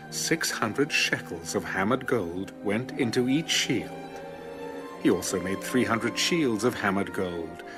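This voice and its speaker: A man with a low voice narrates scripture in a dramatic, scripted style. His intonation is highly expressive, and music plays behind him. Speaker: audio Bible narrator. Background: background music.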